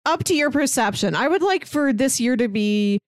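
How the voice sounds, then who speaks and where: A playful woman around thirty tossing jokey asides into a casual podcast chat. Her pitch swoops up and down with lots of life, and the sound is dry and close with no room around it. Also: expressive, normal speed, dry recording. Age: 30s. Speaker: podcast co-host.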